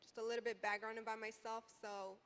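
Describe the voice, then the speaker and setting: A woman's voice: fairly high pitch, little pitch movement, brisk, a bit bright. A woman in her twenties from Iraq speaking earnestly and conversationally as a panelist, often saying "like" and "you know". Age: 20s. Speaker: youth advocacy panelist and educator. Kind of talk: panel discussion, personal and conversational. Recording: reverberant hall with background noise.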